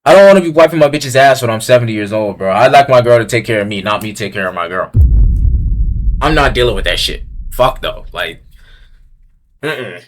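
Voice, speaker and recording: A young man with a lively, bouncing voice gives blunt, profanity-heavy opinions. He swings from low mutters to loud outbursts as he reads each tier-list item aloud and riffs on it. The delivery is casual and unscripted. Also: fairly quick, pauses often. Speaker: streamer ranking items on a tier list. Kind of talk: casual commentary.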